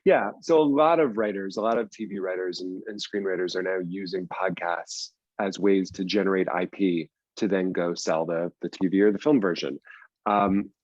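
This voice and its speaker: A man's voice: low voice, normal speed, darkish tone, no room sound, muffled recording. A man speaking casually as an interview guest, explaining things in loose runs with repeats for emphasis. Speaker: writer and producer, interview guest.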